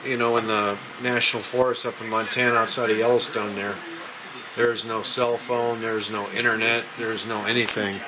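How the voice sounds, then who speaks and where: A man's voice: lowish voice, little pitch movement, normal speed. A man giving an unscripted, rambling show-and-tell as an amateur radio hobbyist, chatting casually. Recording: noisy room, shortwave radio playing. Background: shortwave radio broadcast.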